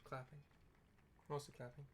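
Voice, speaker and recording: Casting: relaxed male gamer narrating his playthrough, offhand, mid-pitched. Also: normal speed, slight background noise. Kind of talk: casual commentary over gameplay.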